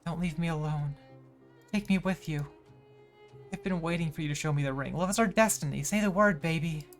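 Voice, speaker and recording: Man acting a prickly, dramatic stage performer, pitched up. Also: a little fast, faint music behind, close to an everyday voice. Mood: melodramatic. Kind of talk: reading game dialogue aloud.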